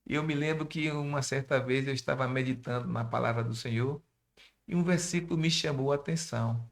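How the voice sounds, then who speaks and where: A man's voice: medium pitch, fairly quick, creaky. A Brazilian man with a Bahian accent, a pastor and missionary telling his testimony in a church interview and speaking directly to young listeners. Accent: Bahian Portuguese. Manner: preachy, addresses youth directly.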